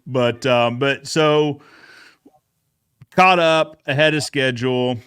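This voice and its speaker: A man hosting a casual recap chat, retelling events in an animated way and acting out bits of dialogue. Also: mid-range voice, slow, clear, resonant tone. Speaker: show host.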